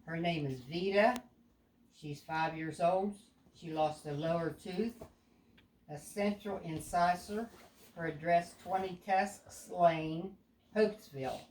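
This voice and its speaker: An older woman reads a children's story aloud like a grandmother, deliberately, pausing to explain things to a child. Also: on the low side, darkish tone. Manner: deliberate, explaining, with stumbles over words. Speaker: grandmother reading a picture book to a child. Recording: echoey room with background noise.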